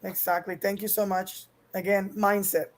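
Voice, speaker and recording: A woman's voice: medium pitch, level tone, moderate pace. A woman from Puerto Rico with a Puerto Rican accent, a guest talking earnestly in long runs with restarts. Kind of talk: casual panel chat.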